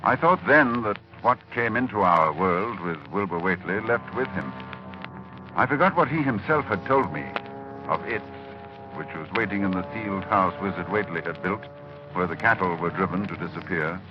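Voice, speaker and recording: An actor playing a driven, fearful scholarly doctor with an intense, dramatic delivery in 1940s radio horror style. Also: male voice, low voice, lively intonation, moderate pace. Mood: grave, haunted. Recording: dull old radio recording with music.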